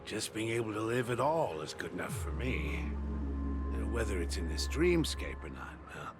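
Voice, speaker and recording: An old survivor of war in a video game, resigned yet grateful. His voice sits low and moves in a measured, wistful way. Music plays clearly underneath. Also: sing-song. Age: older.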